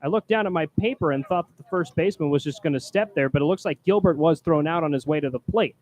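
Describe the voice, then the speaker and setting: A man calling live baseball play-by-play from a booth as announcer, projecting loudly on the calls. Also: a little high, fast, rough recording. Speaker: baseball play-by-play announcer, also doing public address. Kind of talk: live play-by-play sports commentary. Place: press booth at a ballpark. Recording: clear background noise.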